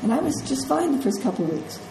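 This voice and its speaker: A woman's voice: high voice, sing-song, fast, background noise. A middle-aged woman with a congested voice, giving a conversational classroom lecture as a nursing instructor and checking in with her students as she goes. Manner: conversational, checks in with "okay?" and "right?". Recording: echoey classroom with faint ambience.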